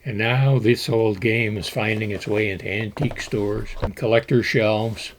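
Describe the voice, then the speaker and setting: Low-voiced man narrating game history. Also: steady tone, slight background noise. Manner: measured storytelling with occasional fillers. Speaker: board game collector presenting.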